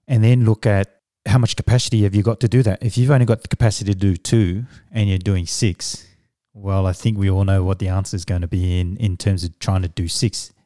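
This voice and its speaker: Low, mellow Samoan-born man. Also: no room sound, clean studio sound. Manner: measured, reflective, with fillers and restarts. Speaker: guest, business coach. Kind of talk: conversational interview answers.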